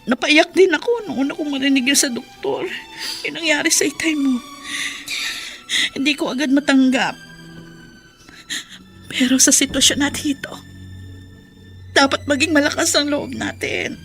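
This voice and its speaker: A woman's voice: high voice, normal speed, pauses often, a bit bright, soft background music. An actress playing a middle-aged wife and mother who keeps the family strong, talking in a soothing, earnest way. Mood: comforting. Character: loving wife, mother and daughter, worried but steady.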